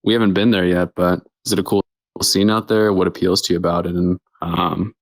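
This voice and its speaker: Host: low-voiced man, dry close sound, casual rambling questions. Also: steady tone, a little fast, no echo. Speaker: podcast host. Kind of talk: casual interview chat.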